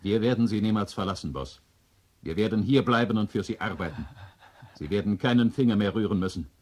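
A man's voice: on the low side, expressive, a little fast, a little mellow, slightly rough. An actor playing a devoted, eager male robot servant in a 1970 German radio play, deferential and insistent. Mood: eager, reassuring.

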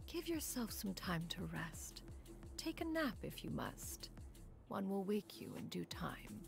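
A woman voicing a stately, caring female immortal elder in a video game, speaking formally and with measured poise. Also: medium pitch, wildly swooping pitch, creaky, exaggerated voice. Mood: gentle, composed. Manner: formal, measured, refers to herself as "one". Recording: loud background music under the voice.

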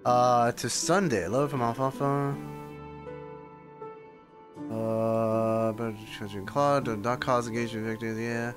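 A man's voice: mid-range voice, fairly even intonation, slow pace, long pauses. A man streams a story game in a mid-range voice. He is informal and offhand, reading aloud and muttering asides, with faint music behind him. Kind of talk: casual live commentary with skimmed read-aloud. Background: video game music.

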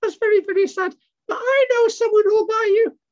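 Squeaky high little monkey puppet, pushy and pleading, says stuff over and over, kinda muffled recording. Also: male voice, fairly quick. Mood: sympathetic. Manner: insistent, repeats words.

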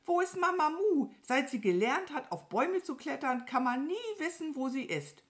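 Lady doing a bossy little storybook crow, high pitched and swoopy, fusses and scolds. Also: expressive, moderate pace. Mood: agitated. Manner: agitated, scolding, stammers when alarmed. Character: excitable bossy fussy female crow. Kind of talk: children's storybook character voice.

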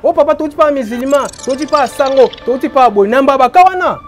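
A man from Boma in Congo, a traditional healer, explaining his remedies in an interview, repeating phrases and trailing off low. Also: fast, soft background music. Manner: repetitive, emphatic, trailing off low.

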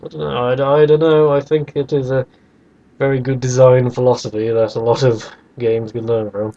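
Mellow-voiced man debating games, slightly dull sound. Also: medium pitch, flat delivery, normal speed. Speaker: podcast host. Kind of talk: casual debate about video games.

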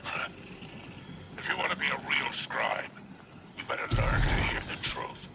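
Gravelly, scheming alien crime boss. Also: male voice, a little high, noisy background. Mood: calculating, contemptuous. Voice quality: gravelly, breathy growl. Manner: measured, smug, menacing. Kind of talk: video game voice acting.

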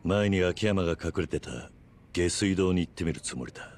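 A man's voice: low voice, lively intonation, moderate pace, a little mellow, slight background noise. A male actor playing a stern, resolute middle-aged yakuza veteran in a video game, speaking in terse, grave, blunt phrases. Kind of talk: dramatic video game acting.

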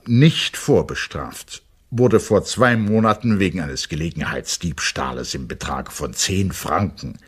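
A man's voice: low-pitched, fairly bright tone, breathy edge, full character voice. A man playing a stern, indignant writer of an official complaint, reading it formally and emphatically in an audio drama. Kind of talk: audio drama, letter read as monologue.

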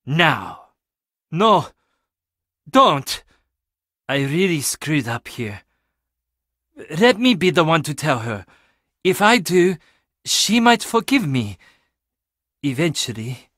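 A man's voice: a little high, big pitch swings, slow pace, pauses often, bright, forceful tone, no room sound, clean studio sound, full character voice. An actor playing an agitated, earnest young man at a Japanese inn in a video game, emphatic and dramatic. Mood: pleading.

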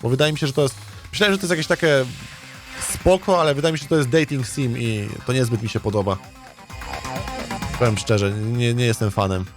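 Male streamer, average pitch, hesitant thinking-aloud chat with repeated words, music underneath. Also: steady tone, a little fast. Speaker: streamer, let's play host.

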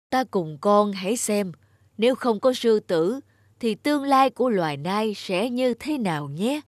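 A woman voicing a wise, patient old male tree spirit who teaches in a measured way in an animated fable. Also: medium pitch, no room sound, studio quality. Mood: patient, explaining. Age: older. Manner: measured, didactic, gently instructive.

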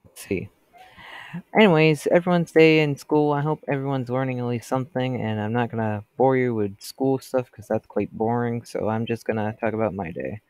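A man's voice: medium pitch, fairly even intonation, moderate pace, darkish tone, smooth, no echo. A teenage boy with a stuffy cold voice, rambling casually as he comments on his gameplay. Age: teens. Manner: chatty, rambling, talks to customers.

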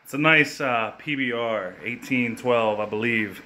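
A young man in his twenties filming a comedic cooking video in his home kitchen on a phone held at arm's length, cracking crude deadpan jokes and swearing freely. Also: medium pitch, a little slow, a little hiss or hum. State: hungover.

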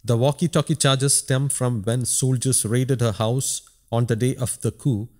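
A man's voice: mid-range voice, fairly even intonation, a little slow, darkish tone. Man reading scripted news. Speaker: news narrator.

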